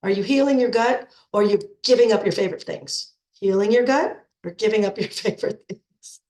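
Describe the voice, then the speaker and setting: A woman's voice: mid-range voice, fairly quick, darkish tone, roomy sound. A middle-aged woman nutrition coach with a head cold, talking informally to her program members and often repeating words as she restarts. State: stuffed up with a cold.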